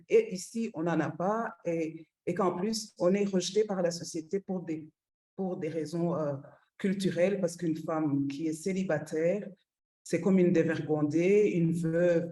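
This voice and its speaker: A woman's voice: deep voice, warm tone. A middle-aged woman from Rwanda presenting her project for women, often hesitating and repeating small words while she searches for the next one.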